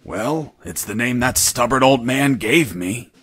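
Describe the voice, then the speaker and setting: An actor playing a wry, unflappable escaped prisoner telling his story in a video game, with deadpan delivery. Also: medium pitch, measured pace, slightly rough, no room sound. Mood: wry recounting. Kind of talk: video game narration and dialogue.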